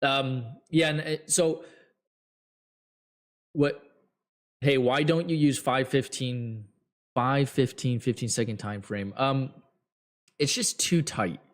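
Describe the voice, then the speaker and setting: A man hosting a trading show, giving blunt, rambling advice to viewers with many fillers, his voice getting hoarse. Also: mid-range voice, takes it slowly, long pauses. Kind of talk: casual advice talk to viewers.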